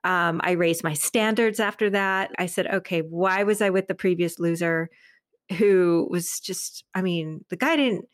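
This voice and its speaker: A middle-aged woman in casual, animated conversation as a podcast guest, telling personal stories in informal speech. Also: lowish voice, a little slow, no echo, clean studio sound. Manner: animated storytelling, acting out quoted remarks; informal, peppered with "like". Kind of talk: casual chat, personal anecdotes.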